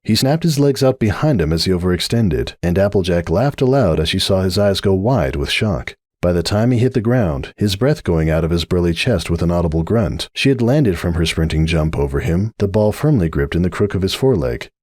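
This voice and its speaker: A man's voice: sits low, lively intonation, brisk, hardly any pauses, a little mellow, no room sound, studio quality. A man giving a dramatic scripted reading of a story as its narrator.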